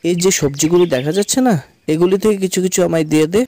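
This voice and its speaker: A man's voice: sits high, quick pace, dark tone, slight background noise. A man voicing a kind-hearted, generous and humble village husband in a cartoon moral tale, earnest and familiar. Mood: hurried.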